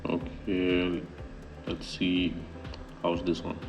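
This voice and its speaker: Very deep-voiced man giving a casual tutorial. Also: completely flat delivery, very slow, gravelly, distant mic, over music. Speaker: vlogger giving a phone app tutorial. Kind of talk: casual how-to tutorial narration.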